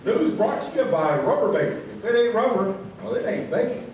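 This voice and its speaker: An actor playing an earnest, folksy small-town radio newsreader, delivering parody local news in a mock-serious way. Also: male voice, sits high, animated, normal speed, a little mellow. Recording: echoey stage recording, distant mic, noise.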